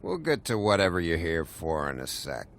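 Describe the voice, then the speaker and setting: Gravelly, bitter barfly. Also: male voice, sits low, big pitch swings, normal speed, slight background noise. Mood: sardonic, intoxicated. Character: bitter, drunk, foul-mouthed barfly man, needling and volatile. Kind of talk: video game voice acting. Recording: music behind the voice.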